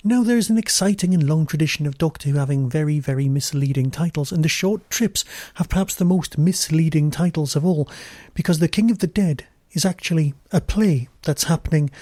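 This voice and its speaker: A man's voice: on the high side, moderate pace, dark tone, dry recording, studio quality. A man presenting a podcast review as a scripted monologue.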